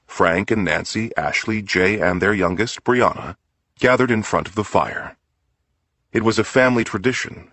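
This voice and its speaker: Male audiobook narrator for crime fiction: very low register, husky, dry studio sound. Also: fairly bright tone, no room sound. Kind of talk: scripted crime story narration.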